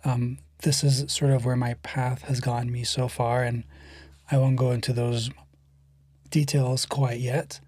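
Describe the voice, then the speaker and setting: A guest in their thirties from Lebanon, speaking slowly and thoughtfully, with a stutter that often shows as silent pauses. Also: medium pitch, flat delivery, a little mellow, husky, no echo. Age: 30s. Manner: slow, measured and thoughtful. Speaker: guest, poet and writer. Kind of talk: reflective interview conversation.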